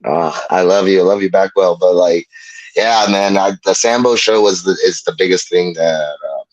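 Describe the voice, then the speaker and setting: Low-voiced man chatting casually as a guest. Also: moderate pace, no echo, muffled recording. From Puerto Rico. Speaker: wrestler, interview guest. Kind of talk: casual interview chat.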